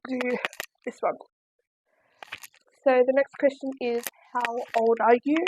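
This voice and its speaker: A woman's voice: a little high, little pitch movement, takes it slowly, a little mellow, a little hiss or hum, low-quality recording. An eighteen-year-old Australian woman chatting casually in a home vlog, rambling with lots of likes and ums. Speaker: crochet vlogger. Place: at home, seated in a chair.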